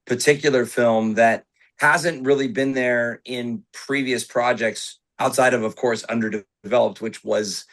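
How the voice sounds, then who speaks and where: A man, an actor, giving a thoughtful, measured interview answer. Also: medium pitch, fairly even intonation, fairly bright tone, rough recording.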